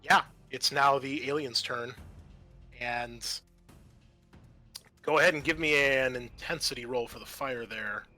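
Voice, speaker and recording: A man narrating a tabletop roleplaying game as game master, pausing often as he works out what to say. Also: medium pitch, pushed and bright, faint music behind.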